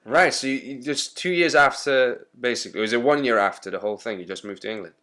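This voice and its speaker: A man hosting a podcast who talks in a relaxed, encouraging way and thinks out loud while asking questions. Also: medium pitch, quick pace. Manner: chatty, encouraging, thinks aloud. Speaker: podcast host. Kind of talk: casual interview chat.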